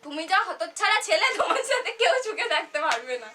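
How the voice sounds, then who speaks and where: Very high-pitched dramatic young Bengali woman. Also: very animated, quick pace. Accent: West Bengal Bengali. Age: young adult. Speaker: prank-call vlogger. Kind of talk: animated prank phone calling, theatrical scolding. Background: background music.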